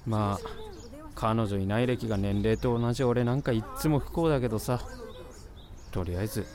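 A woman's voice: rumbling low, moderate pace, dark tone. A woman voicing a gloomy, sarcastic male college student in a voice drama, with blunt, slangy, grumbling talk. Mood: weary, self-deprecating. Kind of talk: Japanese voice drama with inner monologue.

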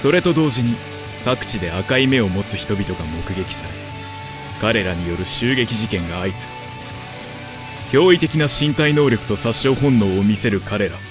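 A man narrating a dark werewolf audio drama in a solemn, measured scripted style. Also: on the low side, animated, over music, natural voice.

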